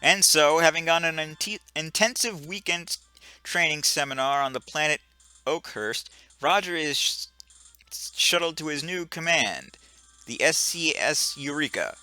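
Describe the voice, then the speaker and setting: A man's voice: on the high side, lively intonation, measured pace, sharp tone, clear, resonant tone, dry recording. A man narrating a comic fan dub of a video game, often stumbling and restarting words.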